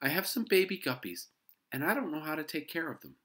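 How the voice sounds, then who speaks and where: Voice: mid-range voice, very animated, brisk, a bit bright, voice pushed up. An adult reader voicing a young schoolboy, eager and earnest, in a children's storybook.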